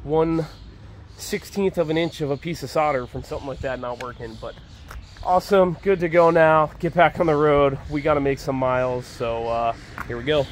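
A man's voice: fairly high pitch, normal speed, a little hiss or hum. A man in his thirties talking casually to an arm's-length camera outdoors on a motorcycle trip, rambling with easy fillers, a little stuffed up. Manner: easygoing, rambling, frequent "uh" and praise asides. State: stuffed up from allergies. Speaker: motorcycle travel vlogger. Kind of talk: casual on-the-road narration. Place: outdoors by roadsides and a riverbank. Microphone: action camera or phone at arm's length, some helmet-mounted.

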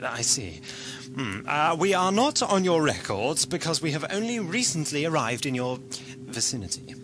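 Male actor as eccentric, witty adventurer, lightish pitch, very animated, audio drama. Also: normal speed, background noise. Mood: flippant, curious. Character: eccentric, quick-witted male time-travelling adventurer.

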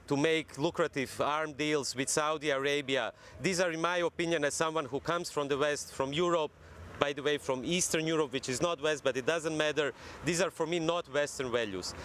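A man's voice: fairly high pitch, a bit bright, a little hiss or hum. A man in his thirties from Croatia with a Croatian accent, earnestly arguing his points in a live news interview from outdoors. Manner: earnest, argumentative, lists examples. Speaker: guest commentator. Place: outdoors on a city street.

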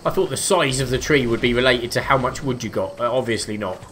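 A man's voice: medium pitch, fast. A man casually commenting on his own game as he plays, joking and talking to himself and the animals on screen. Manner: chatty, jokey, talks to himself and the animals. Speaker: let's play gamer. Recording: game sounds and noise behind voice.

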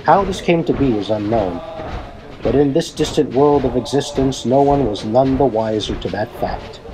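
A man reading a fan-fiction story aloud as narrator, in a dramatic, deliberate storytelling manner. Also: medium pitch, expressive, low-quality recording.

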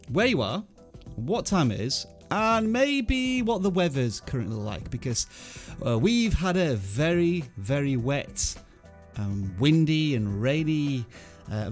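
A man in his thirties with an English accent, hosting a casual livestream from a small home studio and cheerfully greeting viewers in the chat. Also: mid-range voice. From England. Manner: upbeat, reading out chat names and greeting viewers. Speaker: livestream host. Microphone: studio microphone on arm, headphones.

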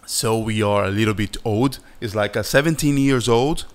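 A man with a Brazilian Portuguese accent, a Portuguese native speaker talking casually to his viewers as an animator hosting his channel, unhurried and searching for words. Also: fairly low pitch, noisy background. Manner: slow and halting, searching for words, friendly. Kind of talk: casual vlog chat over a screen recording.

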